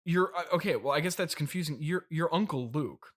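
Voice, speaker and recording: A man playing a rich, boastful CEO father in an improvised comedy interview, awkward and indulgent, with halting, earnest questions. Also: fairly high pitch, close to an everyday voice. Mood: earnest, puzzled.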